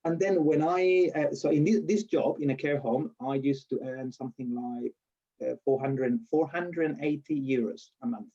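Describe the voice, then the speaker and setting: A man's voice: medium pitch, normal speed, warm tone, lo-fi sound. A man from Spain with a strong Spanish accent, chatting in an interview and telling stories with restarts and laughs. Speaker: lecturer being interviewed. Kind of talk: casual interview.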